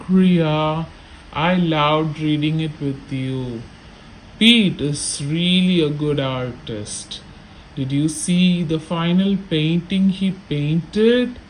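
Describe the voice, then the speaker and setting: A man speaks very slowly in a slightly high voice, chatting encouragingly as if to a child. There is faint noise in the background. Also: a little mellow, rough recording. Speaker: adult co-reader talking with a child.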